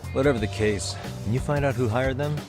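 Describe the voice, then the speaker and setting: An actor playing a guarded, stubborn private detective in a video game dub, dry and self-assured. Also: male voice, medium pitch, fairly quick, natural voice. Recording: dramatic music behind dialogue.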